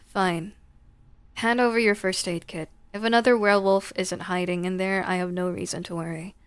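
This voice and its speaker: A woman's voice: on the low side, steady tone, normal speed, smooth, no room sound, natural voice. A woman voicing a boastful, defensive young male vampire from a horror game, with sarcastic delivery. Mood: grudging, sarcastic. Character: cocky, prickly young male vampire, boastful tough guy.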